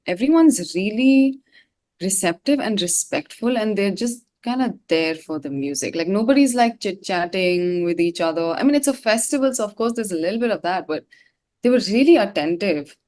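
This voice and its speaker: A woman's voice: medium pitch, animated, moderate pace, darkish tone. A woman being interviewed as a singer, talking casually in a slangy, rambling way. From India. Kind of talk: casual chat.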